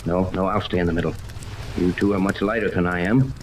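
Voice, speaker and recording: Man acting commanding captain, low voice, deliberate orders, 1930s radio drama, noisy old broadcast. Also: steady tone, lightly acted. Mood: firm, serious. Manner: authoritative, deliberate, gives orders. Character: commanding, level-headed male yacht captain leading an expedition. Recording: noisy 1930s radio, rain ambience over it.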